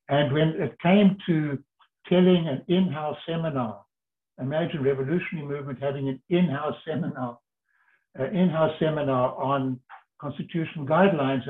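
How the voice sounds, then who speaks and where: An older man from South Africa, a lawyer, telling his story in an earnest, measured way with pauses. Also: on the high side. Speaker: interviewee, lawyer. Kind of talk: reflective storytelling. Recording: tinny phone-like line.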